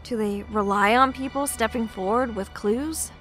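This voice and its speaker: A woman's voice: a little high, normal speed, fairly bright tone, faint music behind, lightly acted. A woman playing a friendly but anxious young commuter in an audio drama, earnest and a little hesitant. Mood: uneasy, hesitant. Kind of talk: naturalistic audio drama acting.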